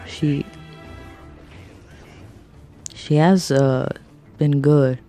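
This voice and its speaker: A woman with a raspy voice talking through game theories in a rambling, hesitant monologue, thinking aloud and jumping between topics. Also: deep voice, dark tone. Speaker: video game fan vlogger.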